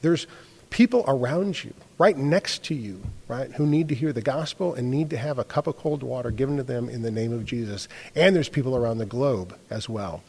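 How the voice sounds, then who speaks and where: A man's voice: medium pitch, a little mellow. A man hosting a podcast, speaking in a conversational interview style. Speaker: podcast host.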